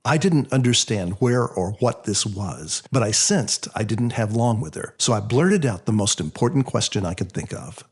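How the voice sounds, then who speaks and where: A man reading his own first-person story as a scripted audiobook, in an expressive, reflective storytelling manner. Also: fairly low pitch, moderate pace, few pauses, slightly rough.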